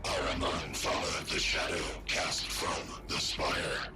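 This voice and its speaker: An ancient machine intelligence from a video game, rules with cold command. The voice is bottomlessly low and delivers slow, terse pronouncements over loud music. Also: male voice, level tone. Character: ancient menacing machine intelligence revered as shadow god.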